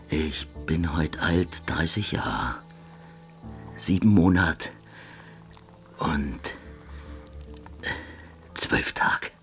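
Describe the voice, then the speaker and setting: An actor playing a doomed soldier of thirty in a radio drama, resigned, halting and terse. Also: male voice, deep voice, heavily acted. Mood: bleak.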